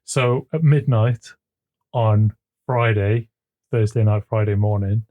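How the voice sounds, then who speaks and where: A man co-hosting a podcast in casual chat, with a smooth voice. He chuckles often and repeats words as he gets going, speaking into a desk microphone at his home computer. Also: fairly low pitch, takes it slowly, phone-quality sound. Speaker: podcast co-host.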